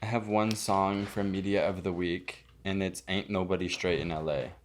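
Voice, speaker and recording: A man's voice: sits low, fairly even intonation, moderate pace, a little hiss or hum. A young man co-hosting a podcast, joking in casual, slangy banter with some swearing. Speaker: podcast co-host.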